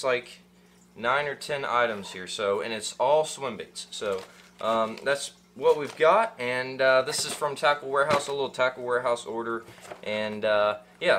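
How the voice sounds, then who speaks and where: A man's voice: mid-range voice, a bit bright, slight background noise. A young man hosting a fishing channel, unboxing gear in casual chat with lots of ums and uhs, seated in a small room. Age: young adult. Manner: rambling, many ums and uhs, restarts.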